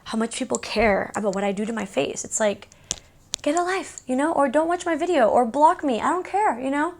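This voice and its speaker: Young woman, native Spanish speaker, higher-pitched voice, informal and candid, casual Q&A vlog style. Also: fairly quick, a little hiss or hum. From born in Mexico City, raised in Houston, USA. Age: young adult. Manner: candid, informal, conversational asides. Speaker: beauty YouTuber.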